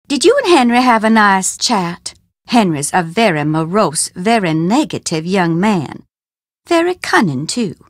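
A woman's voice: mid-range voice, dry recording, studio quality. A woman voicing a scheming, mystical housekeeper in a video game, speaking in a drawling, theatrical, sly way.

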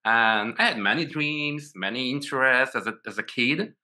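Polish man, 40s, Polish accent, very bright tone, halting with many restarts, video-call guest. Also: medium pitch. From Poland. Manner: hesitant, many restarts and repeated words. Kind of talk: casual video call interview.

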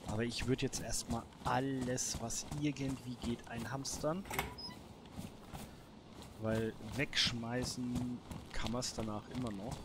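Male let's player, medium pitch, casual thinking-aloud commentary, noticeably noisy recording. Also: fairly even intonation, slow. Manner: colloquial, musing to himself. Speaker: gamer, let's play host.

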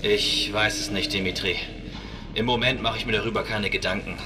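A man playing a calm, resolute spaceship captain with dry humour in a sci-fi audio drama. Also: lowish voice, brisk, distant mic, natural voice. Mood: dryly focused. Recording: clearly audible music behind.

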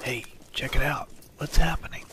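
A man voicing a laid-back, teasing male chameleon with a gravelly, husky voice in an amateur radio drama. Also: on the high side, fairly even intonation, normal speed, bright, forceful tone, a little hiss or hum. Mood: curious, teasing.

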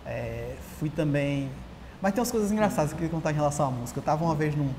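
Animated Brazilian man in an echoey hall. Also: on the high side, very fast. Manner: animated storyteller, frequent restarts. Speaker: writer and journalist, guest. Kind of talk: casual interview talk. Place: large empty auditorium with red seats. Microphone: lapel mic. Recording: long hall reverb, faint hiss.

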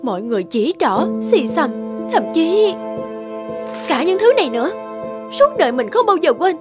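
Bitter wounded woman, high animated voice. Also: moderate pace, music in the background. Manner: dramatic, resentful. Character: bitter, wounded adult woman seeking revenge. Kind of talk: cartoon dubbing.

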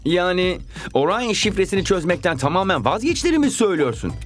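Earnest, high-voiced young footballer. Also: male voice, quick pace. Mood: puzzled. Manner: urgent, expressive. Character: earnest, pleading young male footballer hero. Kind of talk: animated cartoon dubbing. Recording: music behind the voice.

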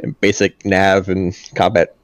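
A man's voice: deep voice, monotone, measured pace, no room sound. A man who works as a Marine aircraft mechanic answers technical questions in a plain-spoken, casual way over a video call.

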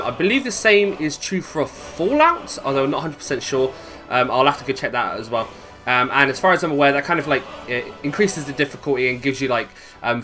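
Bright-voiced British man, chatty gamer. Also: medium pitch. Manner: rambling, chatty, thinking aloud. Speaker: gaming video host. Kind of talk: casual game playthrough commentary. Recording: game music behind voice. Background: video game music.

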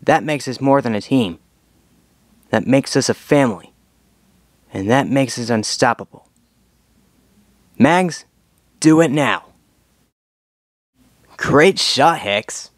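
Young male cartoon dragon hero, performed by a man: confident, earnest team leader, mid-pitched, very dry close sound. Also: animated, takes it slowly, long pauses, no echo. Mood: urgent, commanding. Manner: earnest, commanding, rallying. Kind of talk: scripted cartoon fandub audition lines.

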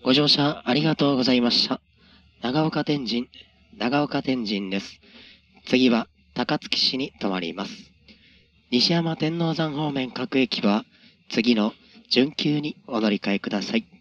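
A man's voice: mid-range voice, unhurried, a bit bright. A man working as a train conductor, giving formal, routine announcements in set phrases over the train's address system. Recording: dull lo-fi train PA.

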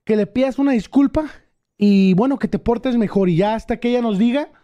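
A man's voice: very high voice, fairly quick, mellow, lo-fi sound. A young man making a prank call as an official, scolding company agent. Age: young adult. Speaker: prank caller. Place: gaming studio room. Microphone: boom microphone, phone in hand.

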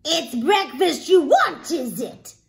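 A great big, bossy ogre's wife from a storybook , voiced by a woman. She is brisk and emphatic, scolding as she goes. The voice is high and very animated. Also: vocal fry, full character voice. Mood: warning.

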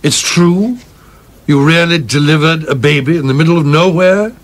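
A man's voice: a little high, normal speed, close to an everyday voice. A man playing a stern, skeptical film producer in a radio play, with a prim, questioning manner. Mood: challenging. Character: stern, skeptical film producer keeping his colleagues in line.

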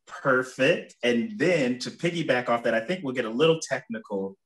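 A man's voice: mid-range voice, lively intonation, moderate pace, rough recording. A young man hosting a virtual Q&A from home, casually reading out audience questions. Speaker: moderator. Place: home room with plants. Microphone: video call.

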